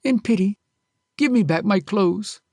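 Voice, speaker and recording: Earnest, courteous young male traveler, put on by a storyteller, raspy, very animated. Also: medium pitch, a little slow, dry recording, clean studio sound, pitched above the performer's own voice. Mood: pleading. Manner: courteous, pleading.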